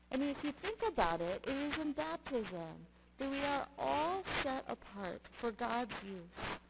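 A middle-aged woman pastor delivering a sermon in measured, emphatic short phrases. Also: fairly high pitch. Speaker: Lutheran pastor. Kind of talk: sermon with scripture reading. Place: church sanctuary, at the pulpit. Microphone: gooseneck pulpit microphone. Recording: clearly noisy church sound recording.

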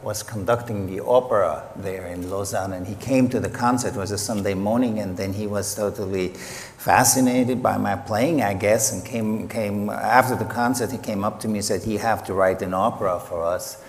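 Low-voiced man from Switzerland telling a story. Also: normal speed. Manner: relaxed anecdotal telling with fillers. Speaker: composer, panel guest. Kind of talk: conversational storytelling. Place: large theater stage. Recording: reverberant hall with faint ambience.

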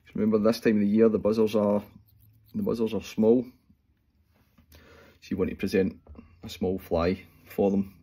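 A Scottish man in his forties explaining fly tying step by step at home, talking in a relaxed way with plenty of fillers as he works. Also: on the low side, moderate pace, long pauses, slightly rough. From Scotland. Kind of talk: casual talk-through tutorial. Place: small room at home, indoors. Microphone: phone camera close up.

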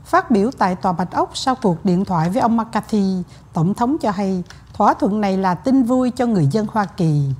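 A woman anchoring a TV news bulletin in a studio, reading in an even, formal way. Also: mid-range voice, normal speed, hardly any pauses, slightly rough.

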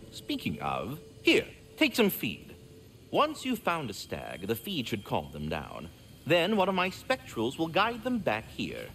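Prim squirrel caretaker, wildly swooping intonation, courteous, wordy, sardonic, video game character, faint ambience. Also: male voice, mid-range voice, a bit bright, slight background noise. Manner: verbose, formal, fussily polite, sardonic.

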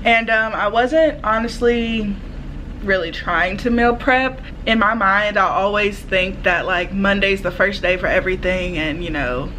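A young woman in her twenties talks casually into a phone held at arm's length, her voice pitched in the middle range. She rambles along with plenty of "like" and "literally". Faint room noise sits under her voice in a small home. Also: sharp tone, poor audio. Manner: chatty, many fillers like "like" and "literally". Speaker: vlogger. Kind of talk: casual chat to camera. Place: small home, living room then kitchen, indoors. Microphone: phone camera at arm's length.

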